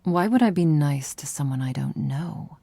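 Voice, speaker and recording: A woman's voice: low-pitched, animated, moderate pace, warm tone, slightly rough, dry recording, heavily acted. A woman voicing a cold, abrasive but protective vampire sister in an ASMR roleplay, blunt, aloof and sardonic. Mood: grudging, cold.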